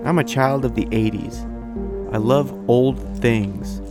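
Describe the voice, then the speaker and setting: A man's voice: medium pitch, takes it slowly, over music. A man in his forties talking casually and reflectively as a video creator, sharing personal thoughts. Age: 40s. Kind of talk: casual talk to viewers and reflective personal narration.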